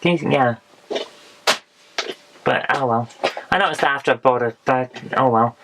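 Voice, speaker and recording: Hoarse woman reviewing retro games. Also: a bass voice, normal speed, a bit bright, a little hiss or hum, rough recording. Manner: chatty and frank, with dry asides. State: hoarse, losing her voice. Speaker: retro game vlogger. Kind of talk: casual game haul review.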